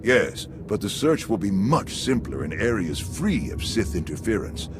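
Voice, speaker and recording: A confident military commander for a video game. His low voice moves expressively through formal, measured phrases, composed throughout, with music playing clearly behind him. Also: big pitch swings. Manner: formal, measured briefing delivery. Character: composed, confident male military task force leader. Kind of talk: video game cutscene acting.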